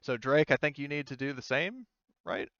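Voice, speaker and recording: Clear-voiced man running a tabletop game. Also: mid-range voice, animated, moderate pace, fairly bright tone, dry recording, lo-fi sound. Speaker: game master. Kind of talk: casual tabletop roleplay narration and chat.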